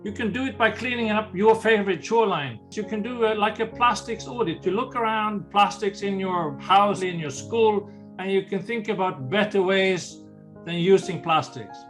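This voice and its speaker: A man's voice: high voice, normal speed, fairly bright tone, faint music behind. An older man with a Swedish accent, earnestly explaining ocean conservation in an interview and often restarting phrases. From Sweden. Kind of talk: interview answers.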